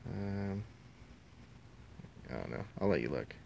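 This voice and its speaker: Slow, offhand man. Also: low-pitched, big pitch swings, creaky, dark tone, slight background noise, low-quality recording. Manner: slow, offhand, trailing off mid-phrase. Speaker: auctioneer previewing items. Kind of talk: casual walkthrough narration. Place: indoor warehouse and tent. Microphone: handheld phone camera.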